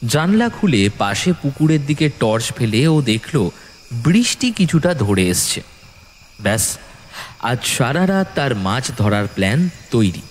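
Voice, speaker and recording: A man's voice: medium pitch, very animated, measured pace, slight background noise. A man narrating a ghost story as a scripted audio drama, in a dramatic storytelling style. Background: background music.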